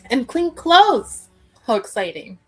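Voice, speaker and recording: A courteous, cheery woman in a fantasy game, acted by a female player, speaks with lively rises and falls. She is friendly and polite, with faint music behind her. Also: sits high, takes it slowly, husky, voice pushed up, exaggerated voice. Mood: upbeat. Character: friendly, polite woman offering work and lodging.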